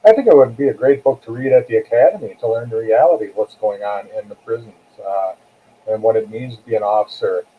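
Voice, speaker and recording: A man's voice: lowish voice, fast talker, slight background noise. A man speaking as an interview guest and author, conversational, plain-spoken and earnest. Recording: muffled, phone-like narrow sound.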